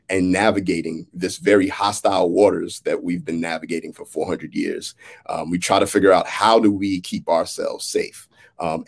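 An American man in his thirties in reflective conversation, speaking in a halting, thoughtful way as a playwright. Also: deep voice, gravelly. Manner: halting, thoughtful, often checks in with "right?". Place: indoors, on a video call. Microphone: video call.

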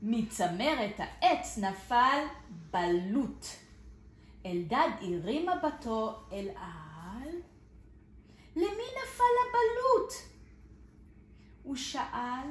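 Middle aged teacher lady reading a picture book to kids, very expressive voice, faint hiss. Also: a little high, long pauses, roomy sound. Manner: animated storytelling with English glosses. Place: indoor living room, seated in armchair.

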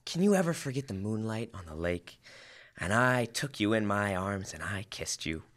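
A man's voice: on the low side, sing-song, moderate pace, a bit bright. A teenage boy playing a bumbling, nostalgic sitcom husband with flustered enthusiasm in old-time radio comedy style. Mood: fondly nostalgic. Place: school library room, stage microphone.

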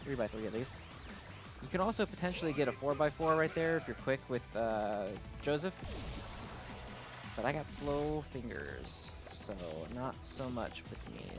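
A man's voice: medium pitch, sing-song, normal speed. Casual, self-deprecating man hosting gaming videos. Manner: informal, slangy, self-deprecating. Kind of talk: casual gameplay commentary. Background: music.

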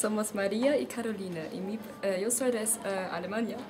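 A woman from Germany, a language student giving a hesitant self-introduction with halting fillers. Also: mid-range voice. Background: loud pop music.

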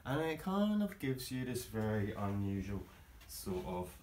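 A man's voice: on the low side, big pitch swings, a little slow, a little mellow. A man giving a chatty, wry, informal guided tour in a hesitant way. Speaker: boat owner and builder giving a tour. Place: large cluttered covered deck space inside a boat. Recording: echoey boat interior with background noise.

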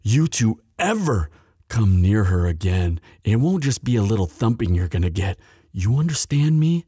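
A man's voice: low voice, dark tone, husky, no room sound, clean studio sound, heavily acted. A man playing a sweet, protective young demon university student in an intimate audio roleplay addressed to the listener. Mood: menacing anger. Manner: hesitant stammering when shy, firm when angry.

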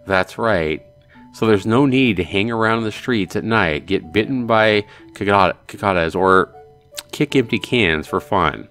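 A man's voice: lowish voice, a little slow, fairly bright tone, faint music behind. A man voicing a glum teenage schoolboy in a visual novel, sulky, grumbling and dejected.

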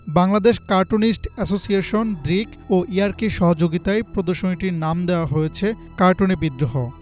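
A man narrates a scripted news report in a high voice with a Bangladeshi accent. Soft music plays underneath his narration.

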